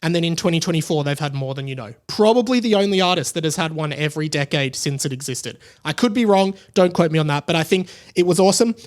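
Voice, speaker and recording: Higher-pitched Australian man, chatting casually. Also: animated, fast, hardly any pauses. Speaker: podcast host. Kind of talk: casual solo podcast commentary.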